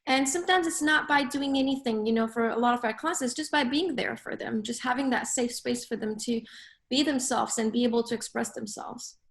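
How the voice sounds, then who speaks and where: A woman from Lebanon speaks as a podcast guest, her voice sitting a touch high. She talks earnestly and reflectively about her work, in a relaxed conversational way. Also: brisk. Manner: earnest, reflective, speaks in long thoughtful runs. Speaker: podcast guest, social worker. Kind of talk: casual interview conversation.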